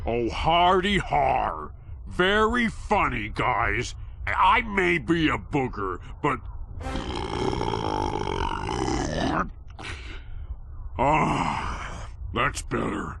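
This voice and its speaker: A man voicing a giant, childlike booger creature in a cartoon game, speaking earnestly with dramatic pauses. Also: medium pitch, sing-song, vocal fry, over music, heavily acted. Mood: relieved. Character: giant sentient booger villain, childlike, woeful, turning good.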